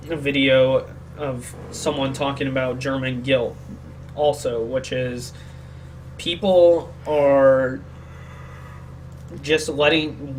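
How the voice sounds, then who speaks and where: A man's voice: mid-range voice, a little hiss or hum, rough recording. A sick man co-hosting a podcast, rambling through a casual opinionated discussion and often searching for words. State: sick, speaking more quietly than usual. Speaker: podcast co-host.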